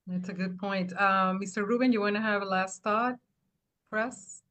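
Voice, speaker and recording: A woman moderating an online news briefing, quick and informal, often repeating words. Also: mid-range voice, fairly even intonation, fairly bright tone.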